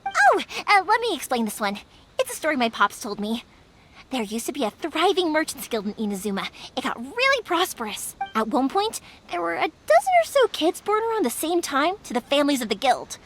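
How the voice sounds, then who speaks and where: High, lively young fireworks maker. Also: female voice, brisk, slight background noise, heavily acted. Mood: storytelling. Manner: breezy, chatty, expressive. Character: cheerful, bold young woman, fireworks maker. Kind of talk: English video game voice acting. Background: background music.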